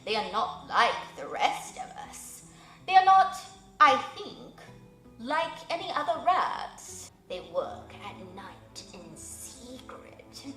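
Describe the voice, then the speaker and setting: A woman voicing a wise, solemn old male owl who speaks slowly and formally. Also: fairly high pitch, sing-song, pushed and bright, echoey room, soft background music, heavily acted. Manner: slow, measured, formal.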